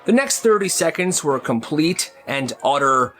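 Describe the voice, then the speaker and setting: A man's voice: a little high, big pitch swings, measured pace, a bit bright, soft background music. A man telling comedic stories in an exaggerated way, acting out the characters' lines. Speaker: storyteller. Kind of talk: animated comedic storytelling for short videos.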